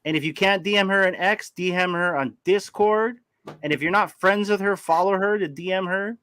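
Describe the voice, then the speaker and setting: A man with a raspy voice co-hosting a casual livestream, running giveaways in an upbeat way. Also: high voice, moderate pace, pushed and bright, no echo. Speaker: livestream co-host.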